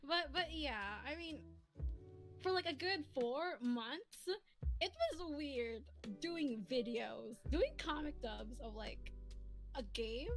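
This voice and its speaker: High-voiced woman streamer, chatty and rambling. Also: expressive, takes it slowly. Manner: giggly, rambling, repeats phrase starts. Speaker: streamer and host, comic dub maker. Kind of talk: casual livestream chat. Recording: soft music playing behind the voice. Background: soft lofi music.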